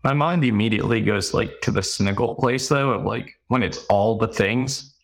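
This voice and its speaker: Man with a lowish voice, chatting casually. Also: normal speed, creaky, no echo. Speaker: podcast co-host. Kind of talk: casual chat.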